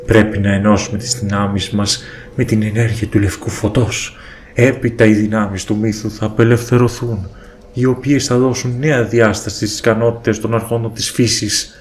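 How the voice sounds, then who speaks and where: A man voicing an ancient wise sage in a cartoon, giving slow, solemn counsel. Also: on the low side, flat delivery, slight background noise, close to an everyday voice. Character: ancient wise sage spirit, calm and grave.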